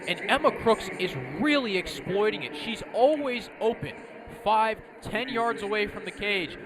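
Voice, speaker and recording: An American man giving live color commentary, explaining play in full, flowing sentences. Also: high voice. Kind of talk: live sports analysis. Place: outdoor sports stadium. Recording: very noisy outdoor stadium broadcast. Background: loud outdoor noise.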